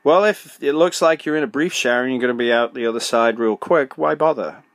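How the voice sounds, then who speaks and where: A middle-aged podcast host giving practical, direct advice, often asking himself questions and then answering them. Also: male voice, medium pitch, brisk, no room sound. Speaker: podcast host, motorcycle suspension tuner. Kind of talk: solo podcast advice talk.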